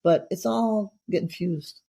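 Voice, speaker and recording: A woman's voice: fairly low pitch, unhurried, vocal fry, mellow, husky. A middle-aged woman hosting a casual interview, chatty and effusive, rambling from one thought to the next. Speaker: podcast host.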